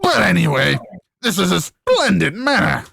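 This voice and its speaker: A man voicing a pompous, irritable middle-aged male doctor in a video game, with drawn-out, emphatic delivery. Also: sits high, huge pitch swings, creaky, noisy background, heavily acted. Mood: pompous politeness. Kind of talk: fan voice-over of a video game.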